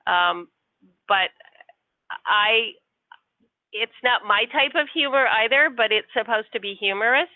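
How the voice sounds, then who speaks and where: A woman's voice: fairly high pitch, measured pace, pauses often, no echo. A woman working customer service, answering politely and patiently with some hesitation. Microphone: phone. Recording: tinny dry phone line.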